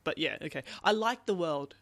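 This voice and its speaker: A man casually discussing a book as a podcast host, halting and repeating words, often checking in with "right?". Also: a little high, animated, a bit bright, no echo.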